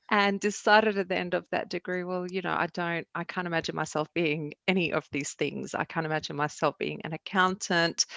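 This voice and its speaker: Low gravelly woman. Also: a bit bright, no echo. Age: middle-aged. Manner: reflective, self-deprecating storytelling. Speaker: guest analyst. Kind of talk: podcast interview.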